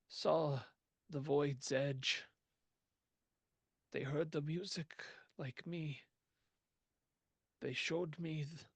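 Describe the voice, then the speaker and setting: A man putting on a haunted mystic from a tabletop game who speaks in a slow, halting, flat way. His voice sits a little higher than his own and comes across dry and close. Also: husky, no echo, heavily acted.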